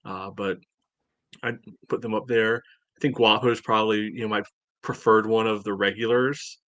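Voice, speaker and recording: Low-voiced man in his forties, close and dry. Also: steady tone, moderate pace, a bit bright, no echo. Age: 40s. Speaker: soccer fan podcast co-host. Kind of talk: casual chat.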